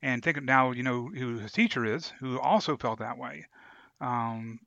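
A man co-hosting a podcast, explaining his thoughts in a halting, reflective, conversational way. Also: mid-range voice, creaky, bright, forceful tone, no echo, muffled recording. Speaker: podcast co-host. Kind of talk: casual discussion.

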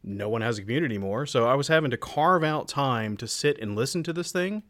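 Male co-host, mid-pitched and expressive, casual chat, dry close recording. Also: brisk, no room sound. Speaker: podcast co-host.

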